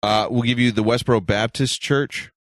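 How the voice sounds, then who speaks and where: A man's voice: medium pitch, steady tone, moderate pace, fairly bright tone, dry recording, studio quality. A man co-hosting a comedy show, chatting and joking casually. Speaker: show co-host.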